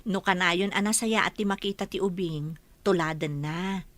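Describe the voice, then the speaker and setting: A woman's voice: fairly low pitch, moderate pace, a bit bright, no echo, natural voice. A woman playing a kindly village schoolteacher in a radio comedy-drama, polite and coaxing. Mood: welcoming, advising. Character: kindly village schoolteacher offering household work.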